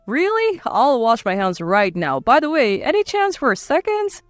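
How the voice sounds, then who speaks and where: A woman plays a cheerful, hungry husband in a narrated domestic story. The voice is fairly high and bouncy, recorded dry. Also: wildly swooping pitch, a little slow, no room sound, faint music behind. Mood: eager. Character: cheerful, hungry husband around thirty, eager. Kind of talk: dramatised storytelling dialogue.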